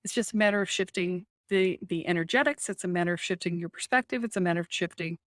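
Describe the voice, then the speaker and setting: A woman guest on a podcast interview, a spiritual coach who talks in an animated, emphatic way, often tags "right?" and laughs easily. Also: on the low side, quick pace, dry recording, studio quality.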